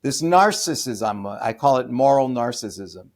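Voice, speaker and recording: An American man, a podcast guest and author, giving opinionated interview answers with many restarts and fillers, then bursting into loud, scornful emphasis. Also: mid-range voice, expressive, a little slow. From United States.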